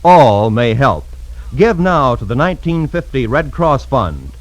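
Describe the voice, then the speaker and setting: A man's voice: mid-range voice, very animated, measured pace, no room sound, poor audio. A man with an American accent reading scripted 1950s radio announcements in a polished, emphatic, formal way. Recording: old radio broadcast, music behind.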